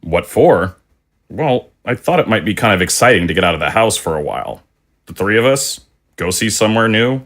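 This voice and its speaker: An actor playing a mild, friendly middle-aged dad in an audio drama, casual and a little hesitant. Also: male voice, lowish voice, expressive, fairly quick, fairly bright tone. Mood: evasively upbeat. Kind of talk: naturalistic audio drama acting.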